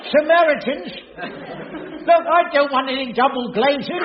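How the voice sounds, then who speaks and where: A man's voice: extremely high pitch, normal speed, recorded from a distance, a crowd in the background. A man playing a grumpy, panicky British caretaker with an exasperated, blustering manner in a 1980s radio sitcom. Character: grumpy, panicky jobsworth caretaker stuck on a ledge. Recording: muffled, noisy, echoey radio recording with audience.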